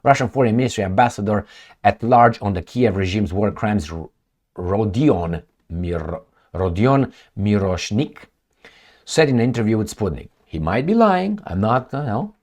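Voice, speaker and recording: A man's voice: on the low side, expressive, moderate pace, a little mellow. A man from Romania, giving rambling, sarcastic solo commentary on news reports, full of fillers and restarts. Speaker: political commentator. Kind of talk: casual solo commentary reading news aloud.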